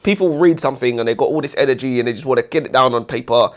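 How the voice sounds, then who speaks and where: A man's voice: medium pitch, rapid-fire. A man co-hosting a casual book review, speaking bluntly with dry humour.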